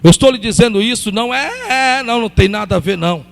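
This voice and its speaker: A man's voice: extremely high pitch, animated, fast, gravelly, fairly bright tone. A pastor in his fifties preaching a sermon, emphatic and repetitive, calling out to his congregation. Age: 50s. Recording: long hall reverb.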